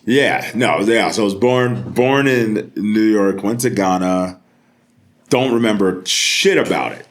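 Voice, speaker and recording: Deep-voiced man swapping slangy stories. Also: unhurried. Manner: profane, slangy, animated exaggeration. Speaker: podcast guest. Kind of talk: casual comedic storytelling.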